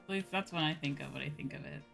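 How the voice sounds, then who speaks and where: A woman with a fairly low voice streams a game and chats with her viewers. Her talk is informal, slangy and loosely rambling. Light game music plays behind her. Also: fast, creaky, a bit bright. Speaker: livestreamer, VTuber host. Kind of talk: casual chat while playing a game, answering viewers.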